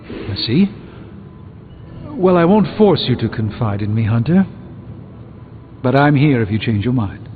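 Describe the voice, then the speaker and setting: An actor playing an erudite, pompous sorcerer with a dry, articulate wit in a video game. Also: male voice, medium pitch, expressive, measured pace, long pauses, lightly acted. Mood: measured, wry. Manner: pompous, dryly witty, articulate. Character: erudite, haughty, wry male sorcerer. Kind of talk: video game character dialogue. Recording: muffled phone-like game audio under music.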